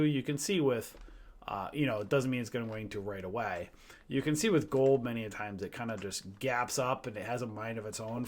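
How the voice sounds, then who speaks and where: Tired man talking through stock charts. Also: mid-range voice. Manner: loose, rambling, many fillers and restarts, addresses viewers as guys. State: tired and losing his voice, clearing his throat and coughing. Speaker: stock trading educator. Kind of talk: casual live chart walkthrough.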